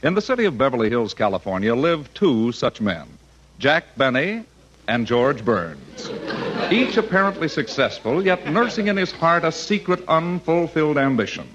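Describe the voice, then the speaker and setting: A man's voice: on the high side, big pitch swings, normal speed, highs cut off, a little hiss or hum. A man announcing a 1940s radio show from a script in a grand, declamatory manner, on an old recording. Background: loud music.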